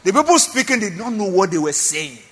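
Animated man preaching in a hall. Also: sits high, brisk. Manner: emphatic preaching with repeated phrases and questions to the congregation. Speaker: pastor. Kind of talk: sermon. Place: church.

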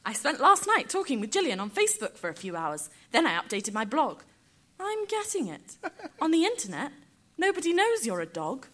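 A woman's voice: sits high, huge pitch swings, moderate pace, fairly bright tone, full character voice. A woman playing an eager young puppy, new to the pack, in a live comic radio play. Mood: proud. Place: live stage performance at an audio theatre festival.

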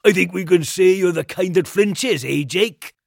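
Man acting a British male bully, sinister, teasing, hushed to whispering, gritty creaky edge, close dry sound, audiobook character. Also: medium pitch, animated, unhurried, no room sound, heavily acted. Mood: taunting. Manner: sinister, teasing, lingering, often dropping to a whisper. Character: menacing, taunting male hard lad leading frightened boys.

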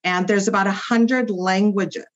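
A woman's voice: medium pitch, steady tone, a little slow. A woman clinical psychologist explaining as a podcast guest, with many fillers and tag questions. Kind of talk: podcast interview. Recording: muffled lo-fi audio.

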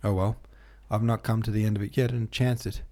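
A man's voice: lowish voice, flat delivery, brisk, warm tone. A man reading the lines of a coarse, defensive young woman who snaps back defiantly, in a storybook reading. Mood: evasive. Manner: rough, defiant retorts.

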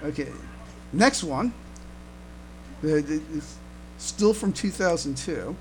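A man co-hosting a casual fan podcast, chatting haltingly and often repeating his words. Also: a little high, animated, a little hiss or hum, rough recording. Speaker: podcast co-host.

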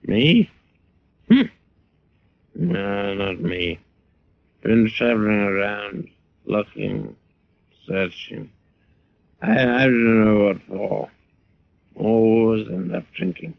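Sad boozy drifter, really slow n gravelly, halting, old radio play sound. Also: male voice, on the low side, sing-song, full character voice. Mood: gloomy, fuddled. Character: drunken, weary male drifter, gloomy and halting. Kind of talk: 1940s radio drama acting. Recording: dull old radio recording.

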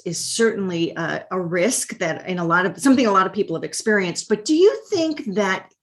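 A woman hosting an interview, asking measured questions and rephrasing them as she goes. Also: mid-range voice. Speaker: interviewer, host.

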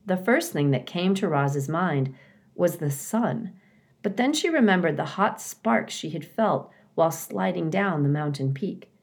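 A woman narrating a children's novel aloud as an expressive storybook reader. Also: lowish voice, unhurried, gravelly.

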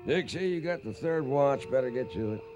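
An actor playing a weather-beaten old trail boss who spins yarns in folksy American Western speech for a radio western. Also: male voice, mid-range voice, animated, normal speed, over music, close to an everyday voice. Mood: gruff, chiding. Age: older. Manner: folksy, rustic cowboy speech. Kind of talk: radio western acting, storytelling narration.

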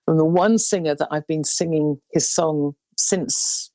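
A woman in her sixties, a singer with a low baritone voice, telling stories in a rambling interview chat with many restarts. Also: unhurried, no echo. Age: 60s. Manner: rambling, warm storytelling with restarts.

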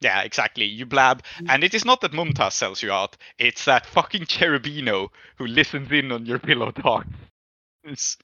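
A man's voice: medium pitch, lively intonation, normal speed, pushed and bright, no echo, muffled recording. A man acting as game master, narrating casually and informally to the players, with frequent affirmations and some swearing.

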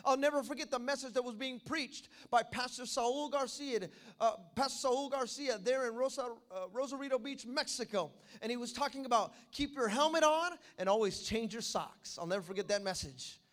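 A man preaching with lively, animated delivery and asides to the congregation. His voice sits very high with a bright edge. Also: moderate pace, few pauses. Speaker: pastor. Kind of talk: sermon.

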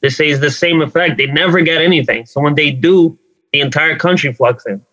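A man's voice: on the high side, brisk, sharp tone, dull audio. A man from Brazil, a band member, chatting casually as an interview guest in an informal, matter-of-fact way. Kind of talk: casual chat.

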